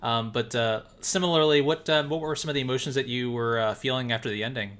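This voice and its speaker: A man with a bright, forceful voice hosts a casual chat. He asks questions with plenty of uhs and restarts. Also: medium pitch, fairly even intonation, fast talker. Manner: hesitant, asks questions with fillers. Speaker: podcast host.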